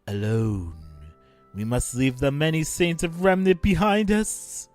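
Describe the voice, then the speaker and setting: Mocking madman, gleeful, drawn-out delivery, animated pitch, dry close sound, fan audio drama. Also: no room sound, faint music behind. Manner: theatrical, gloating, drawn-out delivery. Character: deranged gleeful male killer, theatrical and mocking.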